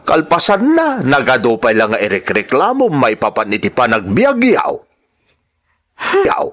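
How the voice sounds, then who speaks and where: An actor playing a stern, exasperated elderly father in a radio drama, scolding with drawn-out emphasis. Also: medium pitch, huge pitch swings, fairly bright tone, full character voice.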